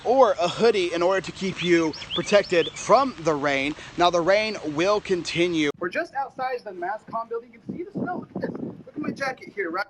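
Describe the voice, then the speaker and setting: A man's voice: high-pitched, big pitch swings, moderate pace, background noise. A young American man reporting the news in a broadcast style, in live stand-ups and scripted narration. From Oklahoma, United States.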